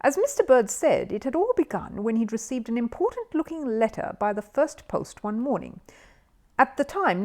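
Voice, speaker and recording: A woman reading a children's story aloud as an expressive audiobook narrator. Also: on the high side.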